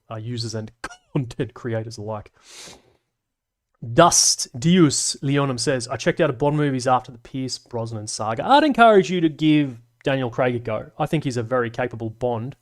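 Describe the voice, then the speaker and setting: A young man hosting a live stream, rambling and swearing casually as he reads chat and laughs along. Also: mid-range voice.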